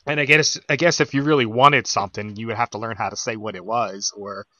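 A man's voice: on the low side, steady tone, fast talker, pushed and bright, dry recording. A man interviewing a guest casually, asking rambling questions with lots of fillers and joking along. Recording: dry, dull lo-fi sound.

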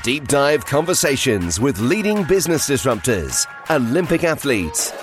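Male promo announcer, animated pitch, emphatic read, strong music underneath. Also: moderate pace. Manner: punchy, emphatic delivery. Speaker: show announcer. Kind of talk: scripted promo voice-over. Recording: voice-over with loud music bed.

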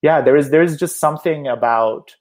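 A man's voice: on the high side, a little fast, a little mellow. Chatty reflective man from Turkey. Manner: chatty, reflective, many fillers. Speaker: theater producer. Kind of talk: casual conversation.

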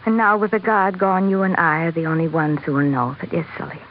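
A woman's voice: fairly low pitch, steady tone, fast, close to an everyday voice. A woman playing a devoted, educated young sultana with an earnest manner in an old radio drama. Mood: shy, tender. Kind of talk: 1940s radio drama acting. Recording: dull, noisy old radio recording.

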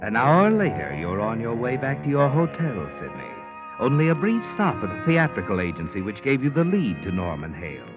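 A man's voice: medium pitch, wildly swooping pitch, brisk. A man narrating a 1940s radio drama, speaking to the listener as "you" in a knowing, insinuating manner. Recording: tinny lo-fi old radio with faint music.

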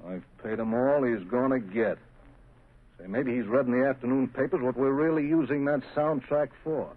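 An actor playing an impatient, scheming crooked lawyer boss in a curt 1930s radio drama style. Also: male voice, mid-range voice, normal speed, husky, lightly acted. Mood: worried. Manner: curt, bossy. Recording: tinny, muffled 1930s radio recording.

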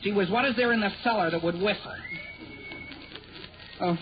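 A man playing an excitable, evasive teenage boy, stammering and pleading in 1940s radio sitcom style. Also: high voice, normal speed, natural voice. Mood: nervous, evasive. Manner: stammering, pleading, exclaiming gee whiz. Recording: noisy, narrow-band 1940 radio recording.

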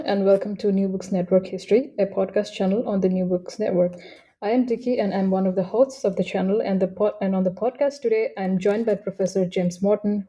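A woman's voice: mid-range voice, warm tone, slightly rough, low-quality recording. A woman hosting a podcast, reading out an introduction and putting questions in an interview style. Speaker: podcast host. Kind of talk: scripted introduction read aloud, then interview.

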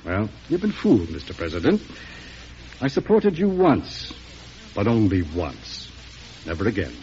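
A low-pitched, pompous senator, contemptuous and curt. His delivery swings widely in pitch, on a muffled, noisy old radio drama recording. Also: huge pitch swings, unhurried, a little mellow. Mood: defiant, angry. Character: haughty, hard-hearted, ambitious senator, openly contemptuous. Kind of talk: mid-century radio drama acting.

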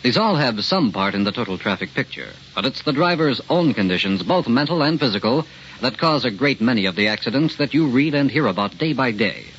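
A dull old radio recording with faint noise. A male announcer of middling pitch reads a scripted public service message in vintage broadcast style. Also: expressive, a little fast, fairly bright tone.

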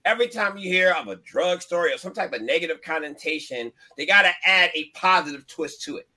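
A man's voice: on the high side, animated, brisk, bright, forceful tone. A man chatting on a show, earnestly explaining his views at length. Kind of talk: casual chat.

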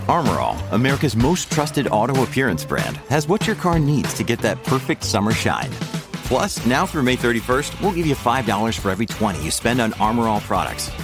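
A man reading a scripted ad in an upbeat, polished announcer style. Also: mid-range voice. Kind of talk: scripted radio ad read.

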